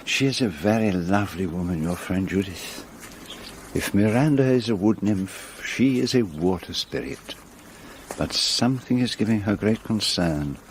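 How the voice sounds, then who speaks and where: A man's voice: lowish voice, lively intonation, lightly acted, poor audio. A courteous Belgian detective in a radio play, performed by a man. His French-accented speech is formal and precise, with widely varied intonation as he probes. A faint noise sits behind the voice. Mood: musing. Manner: formal, precise diction, measured questioning. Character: courteous, probing, middle-aged male Belgian detective.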